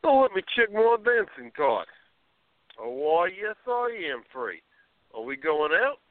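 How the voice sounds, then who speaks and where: An actor playing a playful, teasing schoolteacher with a droll, theatrical manner in a radio play. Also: male voice, high-pitched, very animated, a little slow, no room sound. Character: playful bearded schoolteacher, a woman's pretend boyfriend. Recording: narrow phone-like sound.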